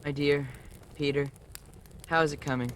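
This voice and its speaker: A man playing a grieving father in a radio play, kindly and halting as he keeps up a brave face. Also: a little high, fairly even intonation, close to an everyday voice. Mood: subdued, kindly. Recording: faintly noisy home video call.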